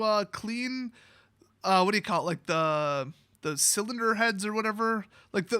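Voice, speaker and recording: American male vlogger, bright forceful tone, animated off-the-cuff storyteller, close dry sound. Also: high-pitched, dry recording. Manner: animated, exaggerating, quotes people in acted-out voices. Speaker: YouTube vlogger. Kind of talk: casual rambling personal storytelling.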